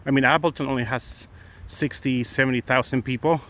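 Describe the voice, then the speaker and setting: A man's voice: medium pitch, fairly even intonation, brisk, a bit bright. Middle-aged man with a Mexican accent, Spanish native, rambling live-stream chat outdoors, phone mic, faint noise behind. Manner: chatty, rambling, many restarts. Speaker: attorney vlogger. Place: outdoors on a morning walk.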